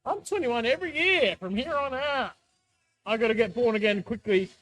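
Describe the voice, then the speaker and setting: A drunk man in his twenties rambling and joking deadpan in casual banter on a vlog. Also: extremely high pitch, moderate pace, poor audio. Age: 20s. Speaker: vlog co-host.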